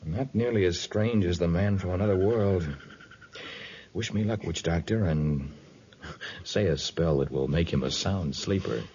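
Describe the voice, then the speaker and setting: An actor playing a brave, earnest jungle hero in a 1950s radio adventure serial, plain-spoken and steady. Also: male voice, low-pitched, measured pace, slight background noise. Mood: hushed, cautious. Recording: muffled 1950s radio recording.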